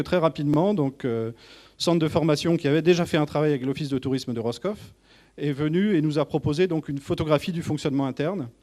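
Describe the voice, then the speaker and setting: Man, mellow and soft-edged tone, informal work presentation, hesitant delivery, restarts his phrases. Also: a little high. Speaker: tourist office manager presenting. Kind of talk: informal professional presentation to colleagues.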